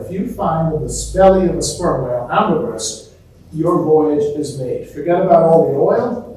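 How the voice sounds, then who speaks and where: A man's voice: on the high side, darkish tone. A man presenting an informal history lecture to an audience, telling stories conversationally and posing rhetorical questions. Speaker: museum talk presenter. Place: indoor museum talk before a live audience. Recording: very echoey room, distant mic, faint noise.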